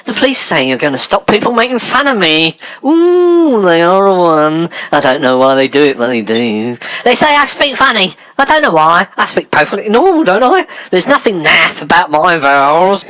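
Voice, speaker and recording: Camp english gent, gossipy and easily offended, voice swooping all over, old radio comedy. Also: male voice, sits high, sing-song, moderate pace, few pauses, sharp tone, no echo, heavily acted. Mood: arch, flirtatious. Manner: affected, arch, gossipy, indignant. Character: camp, touchy, chatty gentleman with affected airs. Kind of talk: old-fashioned British radio sitcom acting.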